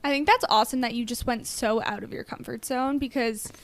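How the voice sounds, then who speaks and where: A woman's voice: a little high, fairly even intonation, a little fast, dry recording. A woman co-hosting a podcast, enthusiastic and encouraging toward her guest. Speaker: podcast co-host. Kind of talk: casual interview chat.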